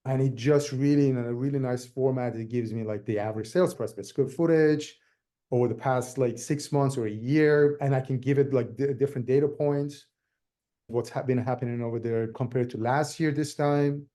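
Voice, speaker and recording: A man's voice: medium pitch, fairly even intonation, moderate pace, warm tone. A man from Iran with a Persian accent, a native Farsi speaker, talking casually as a guest in an interview, in loose run-on sentences full of restarts. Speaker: guest realtor.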